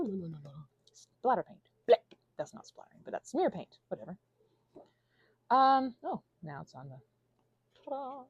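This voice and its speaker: Animated, mellow woman rambling playfully. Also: on the high side, no room sound, highs cut off. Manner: scattered, self-deprecating, playful, trailing off into tangents and asides. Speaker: audio channel creator. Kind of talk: improvised stream-of-consciousness ramble while finger painting.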